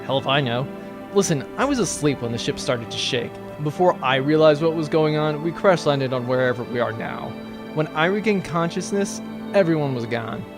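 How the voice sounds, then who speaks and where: An awkward, exasperated male accountant on a privateer spaceship, acted by a man. His voice sits on the high side and swings about in pitch as he rattles through wordy, sarcastic lines. Music plays clearly behind this comic sci-fi audio drama. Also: expressive, normal speed, lightly acted. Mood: wary, indignant.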